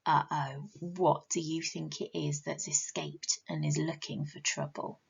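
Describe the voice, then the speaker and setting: A woman teacher reading a picture book to children, animated and asking them questions, often dropping to a whisper. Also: low-pitched, measured pace, creaky, husky, dull audio.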